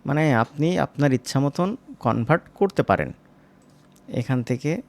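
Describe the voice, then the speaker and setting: A man's voice: medium pitch, expressive, a little slow, a little mellow. A man with a mid-range voice presents a screen-recorded tutorial. His delivery is casual and informal, and he addresses his viewers directly as he explains each step. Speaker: animation tutor.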